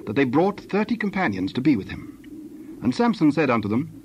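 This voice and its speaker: Male Bible narrator, medium pitch. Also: big pitch swings, normal speed, darkish tone, slight background noise.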